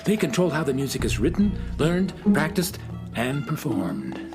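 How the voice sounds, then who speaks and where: A man's voice: fairly high pitch, sing-song, moderate pace, over music. A man playing an earnest, courteous male schoolteacher in a children's audio drama, speaking politely. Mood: earnest, concerned.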